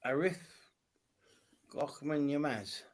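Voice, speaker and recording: A man's voice: on the high side, expressive, slow. A man originally from Turkey, a medium and spiritual teacher, chatting in a friendly, curious way and laughing often. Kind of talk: casual live-stream chat.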